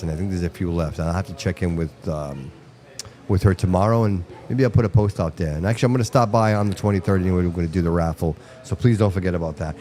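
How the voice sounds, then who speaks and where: A man whose first language is Portuguese hosts a live broadcast from a restaurant venue, talking with a low, dark, mellow voice. He rambles in an animated way and repeats phrases as he chats. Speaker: host. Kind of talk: casual live-stream chat. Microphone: headphones with mic.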